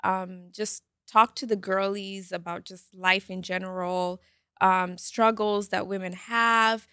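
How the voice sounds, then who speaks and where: A woman in her late twenties from Nairobi, co-hosting a podcast, talking in a relaxed way with many fillers. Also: medium pitch, slow pace, smooth, dry recording, clean studio sound. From Nairobi, Kenya. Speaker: podcast co-host, beauty content creator. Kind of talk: casual podcast chat.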